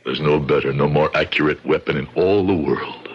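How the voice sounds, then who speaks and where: Through the hiss of a dull old radio drama comes a grieving immigrant father. His voice is extremely deep, his phrasing formal and deliberate, with a bitter resolve for vengeance. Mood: grimly determined. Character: grieving immigrant father, shoe repairman, bitter and bent on revenge.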